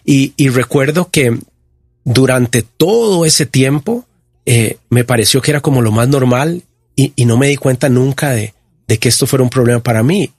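A man in his fifties with a Costa Rican accent, giving personal testimony as an interview guest in a reflective, halting way. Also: mid-range voice, a little mellow, breathy edge, dry recording, clean studio sound. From Costa Rica. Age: 50s.

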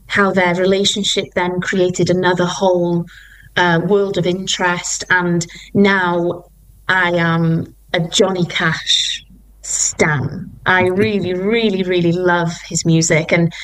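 Enthusiastic woman, lowish voice. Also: low-quality recording. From Wales. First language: Welsh. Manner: enthusiastic, rambling with restarts. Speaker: musical theatre performer and singer. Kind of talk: interview.

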